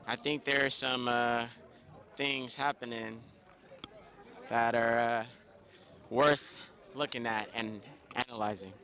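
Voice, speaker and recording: A man's voice: mid-range voice, a bit bright. A man hosting street interviews at a music show, joking, swearing and talking in loose slang. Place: outdoors at a crowded music show. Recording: crowd noise behind.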